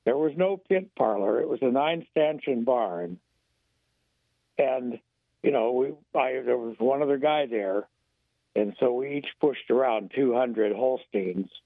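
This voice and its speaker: An older man calling a radio talk show, his voice hoarse, telling a long story in a folksy, indignant way. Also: medium pitch, unhurried, no echo. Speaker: radio caller. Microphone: phone line. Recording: tinny phone line, dry.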